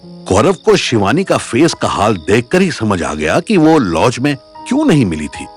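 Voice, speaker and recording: A man's voice: medium pitch, wildly swooping pitch, measured pace. A man narrating a scripted audio story as its storyteller. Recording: music bed behind voice.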